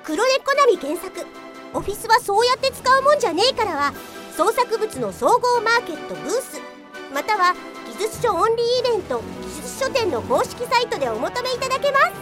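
A woman's voice: very high-pitched, sing-song, a little fast, over loud music. A woman playing a stern, zealous police detective in an audio drama, declaiming dramatically with bursts of outrage. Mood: excited promotion. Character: zealous, stern female police detective enforcing office rules. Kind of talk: Japanese audio drama acting.

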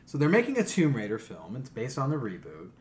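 Man, podcast host, highly animated delivery, blunt, profane, emphatic, casual opinionated chat. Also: brisk.

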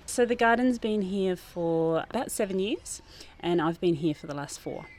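A woman's voice: lowish voice, expressive, normal speed, darkish tone. A woman who works as a garden teacher, explaining thoughtfully and earnestly in an informal interview outdoors. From New Zealand. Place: outdoors, under a gazebo in a school garden.

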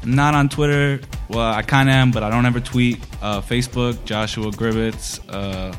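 A man's voice: mid-range voice, steady tone, normal speed, soft background music. A man of twenty-two, a comedian guest, joking with many hesitations. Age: 20s.

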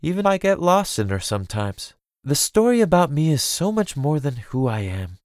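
A man's voice: fairly high pitch, big pitch swings, normal speed, darkish tone, no room sound, clean studio sound, voice pushed up. A man voicing an earnest, lonely, dutiful young noblewoman in an audiobook, speaking in a plaintive way. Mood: lonely, resigned. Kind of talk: audiobook character dialogue.

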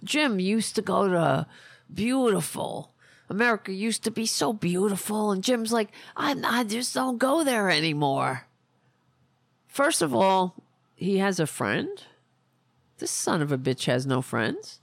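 A woman hosting a political podcast, hoarse from losing her voice, ranting in a rambling way and losing her train of thought. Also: mid-range voice, big pitch swings, measured pace, no echo, clean studio sound. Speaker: podcast host. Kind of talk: casual political rant.

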